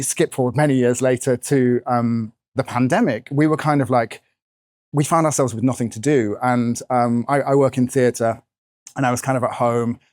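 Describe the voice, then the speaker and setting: A man with a croaky voice, the author and podcast co-host being interviewed at a bookshop event, answering in a chatty way with lots of fillers. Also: medium pitch, a little mellow, no room sound, clean studio sound. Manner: chatty, with many fillers and hedges. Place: bookshop event, in front of an audience.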